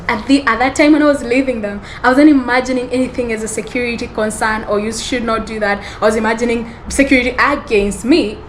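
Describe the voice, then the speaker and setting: A woman's voice: on the high side, a little hiss or hum, poor audio. A woman hosting a podcast, telling a personal story in a rambling, self-correcting way and often laughing. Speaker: podcast host. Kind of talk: storytelling monologue.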